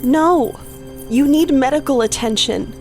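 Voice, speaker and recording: A woman acts an anxious, caring sweetheart in an audio drama. Her voice sits fairly high and sounds concerned and pleading over clear music. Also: a little slow, darkish tone. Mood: distressed. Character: anxious, caring female love interest.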